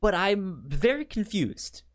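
A podcast co-host talks in a loose, casual way with a very lively, varied pitch. The speech stammers often, repeating syllables and words while recapping and pitching shows to a partner. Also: takes it slowly.

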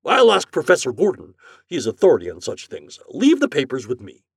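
An offhand company president played by a male narrator in a comic storybook, musing with light authority. The pitch is lifted and varied, the sound dry and close. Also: wildly swooping pitch, brisk, gravelly, breathy edge, no echo, full character voice.

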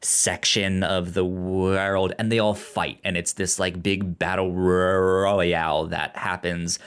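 A man with a fairly low voice talks casually about film as a podcast co-host. His delivery is slow and halting, and he often catches on words and repeats them. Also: level tone, fairly bright tone, clear, resonant tone. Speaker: podcast co-host discussing films.

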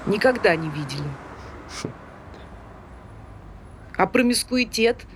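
A woman's voice: medium pitch, lively intonation, brisk, natural voice. An actress playing a prim, opinionated woman who speaks in an indignant, formal, lecturing manner in a stage drama. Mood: preachy. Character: prim, opinionated, scolding female dog owner. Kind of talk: naturalistic stage dialogue. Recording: noisy ambient background with street sounds.

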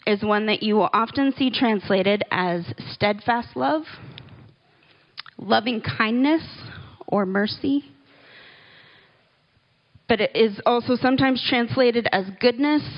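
A woman giving a relaxed, conversational Bible teaching, with small laughs and asides as she explains scripture. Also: on the high side, gravelly, dull audio. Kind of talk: relaxed church teaching talk.

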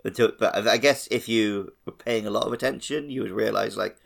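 A man's voice: on the low side, fairly quick, vocal fry, a bit bright. A man hosting a podcast, talking casually and conversationally about video games. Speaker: podcast host. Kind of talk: casual video game chat.

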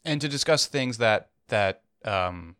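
A man in his thirties co-hosting a podcast, halting and agreeable in casual chat. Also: on the low side, lively intonation, sharp tone, clean tone, no echo, clean studio sound. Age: 30s. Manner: halting, repeats words, agreeable. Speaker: podcast co-host.

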